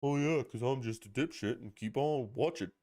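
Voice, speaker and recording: A man's voice: medium pitch, normal speed, a little mellow. A man hosting a podcast on his own, rambling and ranting with plenty of swearing. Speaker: podcast host. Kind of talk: casual solo podcast chat.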